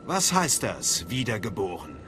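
A man playing a determined, eager dark acolyte in a video game, speaking directly, confidently and formally. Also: lowish voice, sing-song, unhurried, over music. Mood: determined, inquiring. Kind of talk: dramatic video game voice acting in German.

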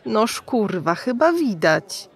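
Gravelly, foul-mouthed underground streetwalker. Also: female voice, a little high, very animated, slow pace, exaggerated voice. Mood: taunting, matter-of-fact. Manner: crude, streetwise, taunting, slangy. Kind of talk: radio drama acting.

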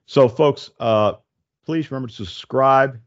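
A man's voice: medium pitch, unhurried, highs cut off. A middle-aged man hosting a casual tech podcast, explaining things conversationally into a close desk microphone. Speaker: podcast host. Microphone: close desk microphone with headphones.

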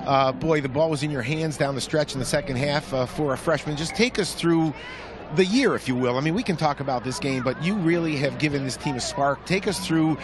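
A man as a sideline reporter interviewing a player on a stadium field at night with a handheld mic, halting and full of fillers. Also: on the high side. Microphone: handheld microphone. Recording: noisy outdoor field.

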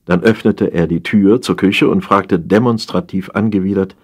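A man narrating a humorous satire as an audiobook reader, in a wry, theatrical storytelling manner with drawn-out emphasis. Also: sits low, fairly even intonation, breathy edge.

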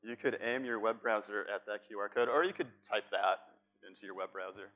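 A man's voice: fairly low pitch, brisk, bright, forceful tone, muffled recording. Playful middle-aged Boston man on stage. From Boston, United States. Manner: playful, chatty, asks the audience questions. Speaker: conference speaker. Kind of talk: interactive workshop talk. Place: large conference room on stage.

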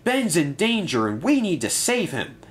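A man voicing a proud, determined male steam engine who speaks firmly and briskly. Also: high voice, big pitch swings, voice pushed up. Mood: alarmed. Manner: firm, brisk, decided.

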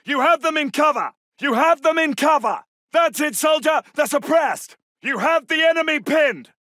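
An actor playing a British Second World War soldier in a video game, barking urgent, clipped battle calls. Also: male voice, very high voice, expressive, moderate pace, no echo, clean studio sound, heavily acted. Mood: encouraging. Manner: urgent, forceful, clipped military delivery.

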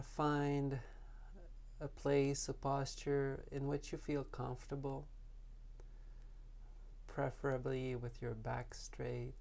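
Slow, gravelly man guiding meditation. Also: medium pitch. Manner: soft, calming, unhurried instruction with long pauses. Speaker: meditation teacher. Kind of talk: guided meditation.

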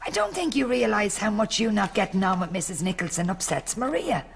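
A woman's voice: medium pitch, sing-song, brisk, creaky, slight background noise. A woman playing a dry, blunt grown-up daughter with an Irish accent in a natural sitcom style. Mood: dry, brisk.

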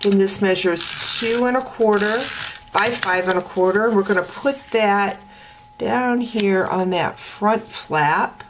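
A woman teaching card making step by step at her craft table, talking in a relaxed way with many ums and uhs. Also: medium pitch, slight background noise. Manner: slow, relaxed talk with frequent ums and uhs.

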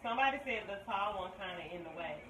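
A woman's voice: mid-range voice, animated, fast, fairly bright tone, far from the mic. A woman in casual livestream chat, reading out viewer comments as an informal, slangy co-host. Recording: echoey, noisy room, off the mic.

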